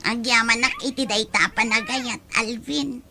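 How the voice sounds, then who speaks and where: A woman's voice: a little high, fairly even intonation, unhurried, bright, forceful tone. A woman with an Ilocano accent playing an anxious, loving young girlfriend in an audio drama. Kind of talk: romantic audio drama acting.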